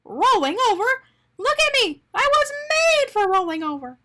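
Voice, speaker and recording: A very high, swooping little voice for an eager male doughnut in a storybook, performed by a woman. He is bubbly and earnest, and he exclaims his lines with open emotion. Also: big pitch swings, normal speed, sharp tone, exaggerated voice. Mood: thrilled. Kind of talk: animated picture-book character reading.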